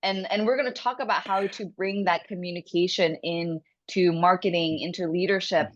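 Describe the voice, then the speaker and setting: A woman hosting a podcast interview, encouraging and affirming her guest with quick praise and frequent backchannels. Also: on the low side, steady tone, muffled recording. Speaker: podcast host, communication coach. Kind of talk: casual interview talk.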